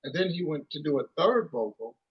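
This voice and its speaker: A man's voice: fairly high pitch, lively intonation, a little fast, breathy edge, dull audio. A man in his thirties, a musician guest, telling stories in a rambling, casual way with lots of "you know" and restarts. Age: 30s. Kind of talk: casual storytelling interview.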